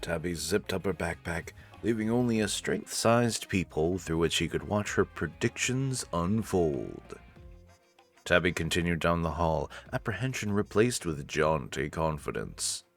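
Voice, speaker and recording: Very deep-voiced, expressive male narrator. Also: unhurried, soft background music. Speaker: narrator and host reading a visual novel. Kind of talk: expressive story narration with casual asides.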